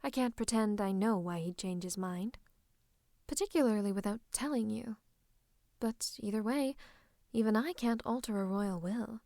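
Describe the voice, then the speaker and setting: A woman playing a scheming royal advisor in a fantasy roleplay, speaking close to the listener in a coaxing, teasing, falsely comforting way with breathy asides. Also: mid-range voice, normal speed, warm tone, no room sound, close to an everyday voice. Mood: coaxing, patronising. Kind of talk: roleplay ASMR monologue to the listener. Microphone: close microphone.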